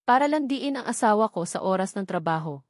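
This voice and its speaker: A woman's voice: medium pitch, lively intonation, a little fast, no room sound, studio quality, natural voice. A woman voicing a jealous, hot-tempered wife who talks back with indignation in a dramatic story narration. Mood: irritated, confrontational. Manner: confrontational, indignant.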